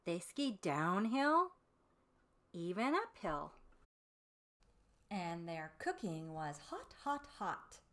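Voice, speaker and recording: A woman reading a storybook aloud for young children, with deliberate, dramatic phrasing and drawn-out emphasis on key words. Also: on the low side, big pitch swings, a bit bright. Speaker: children's storybook reader and presenter.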